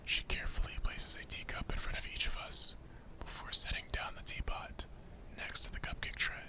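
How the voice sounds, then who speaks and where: A man whispering the narration of a visual novel in a hushed ASMR style. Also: fairly quick.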